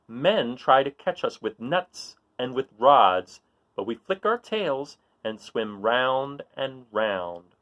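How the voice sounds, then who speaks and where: Man, mid-pitched, highly animated read-aloud storyteller for kids; informal, questioning, encouraging. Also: slow pace, muffled recording. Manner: informal, question-asking, encouraging, with expressive delivery. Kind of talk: reading a children's riddle picture book aloud, chatting to young listeners.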